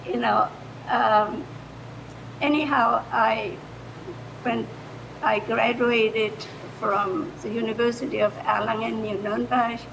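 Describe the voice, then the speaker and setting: An older woman with a German accent, speaking off the cuff in a halting way as a retired language teacher, in a noisy recording. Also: fairly even intonation, gravelly. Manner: halting, hesitant delivery. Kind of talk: improvised public remarks. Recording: noisy room recording with low rumble.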